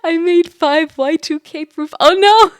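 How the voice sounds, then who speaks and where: A woman's voice: extremely high pitch, unhurried, no room sound. Playful rambling woman streamer, dry close sound. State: tipsy from drinking wine. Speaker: livestream host, VTuber. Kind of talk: casual livestream chat to viewers.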